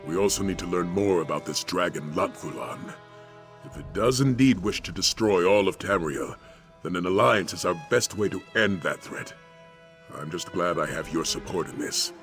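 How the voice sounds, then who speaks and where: An actor playing a steadfast, pragmatic dragon hunter in a video game, with a formal, earnest delivery. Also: male voice, low-pitched, moderate pace, over music. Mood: earnest, determined. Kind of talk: dramatic video game dialogue.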